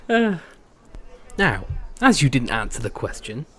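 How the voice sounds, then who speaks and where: An actor playing a burly, hostile male guard in an audio drama, gruff and taunting. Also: mid-range voice, wildly swooping pitch, slow pace, a bit bright, heavily acted. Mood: mocking, menacing.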